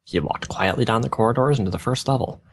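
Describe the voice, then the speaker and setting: A man's voice: medium pitch, fast talker, slight background noise. A young man reading a story aloud, stumbling over garbled wording and breaking off with bemused asides. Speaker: fan fiction reader on a gaming video.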